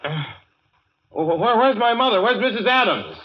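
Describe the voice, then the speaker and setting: A man's voice: high-pitched, sing-song, normal speed. An actor playing a haunted, frightened young man in a 1940s radio drama, dramatic and nervous in manner. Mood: anxious, flustered. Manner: brooding, dramatic, breaking into nervous stammering. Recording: muffled old radio recording with music.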